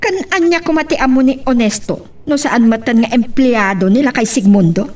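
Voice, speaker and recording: A woman's voice: fairly high pitch, moderate pace, a little mellow, recorded from a distance, close to an everyday voice. A woman acting in an Ilocano radio drama as a suspicious, questioning wife. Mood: probing.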